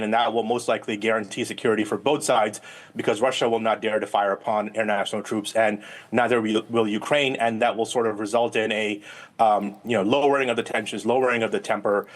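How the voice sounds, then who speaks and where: A man speaking as a guest political analyst in a live news interview, explaining at length and often repeating words as he phrases his points. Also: lowish voice.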